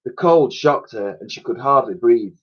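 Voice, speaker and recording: A man's voice: mid-range voice, expressive, moderate pace, dull audio. A man reading a children's story aloud like a teacher, with theatrical, suspenseful narration and emphatic sound effects spoken by mouth.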